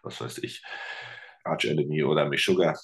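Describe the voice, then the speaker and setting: A middle-aged man, a teacher and musician, talking casually as a podcast guest, relaxed and rambling with many fillers. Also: very deep voice, normal speed, vocal fry. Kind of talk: casual interview chat.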